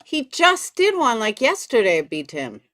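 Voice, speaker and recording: A woman's voice: a little high, wildly swooping pitch, unhurried, fairly bright tone, no room sound, clean studio sound. A woman giving casual live commentary, blunt and gossipy, with plenty of swearing. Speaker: commentary streamer.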